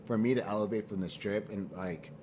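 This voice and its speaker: A man with a low voice speaks in a casual interview over clearly audible room noise. He is earnest and slangy, circling back on phrases to drive a point home. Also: fairly quick. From Boston and Nigeria. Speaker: fashion brand founder, interview guest.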